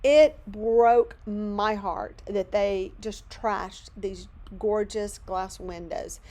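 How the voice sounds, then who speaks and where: A middle-aged woman from Louisiana, hoarse and losing her voice, chatting in a rambling, self-deprecating way as she narrates a crafting video from her home studio. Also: mid-range voice, steady tone, extremely slow, mellow. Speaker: craft channel host.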